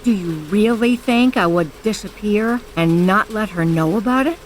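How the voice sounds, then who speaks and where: A woman's voice: mid-range voice, moderate pace, slight background noise, natural voice, poor audio. An actress playing a guarded, stern woman hiding from her past in an audio drama, speaking tersely and firmly.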